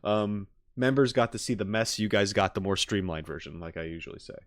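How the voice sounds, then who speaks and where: A man's voice: fairly low pitch, fairly quick. A man chatting casually to his livestream viewers as host, in an informal, rambling, self-deprecating joking way. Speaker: livestream host, game reaction streamer. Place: home streaming setup with green screen. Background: music.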